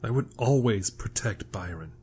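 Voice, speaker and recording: An actor playing a weary, distrustful, protective older brother in an audio drama, speaking in a guarded, skeptical way. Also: male voice, fairly low pitch, animated, moderate pace, a little mellow, breathy edge. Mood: exhausted, sardonic.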